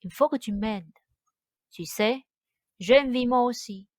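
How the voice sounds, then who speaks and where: A woman's voice: a little high, measured pace, no room sound, studio quality, close to an everyday voice. A woman playing a weak-willed, weary father in a radio drama, placating and imploring. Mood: weary, pleading.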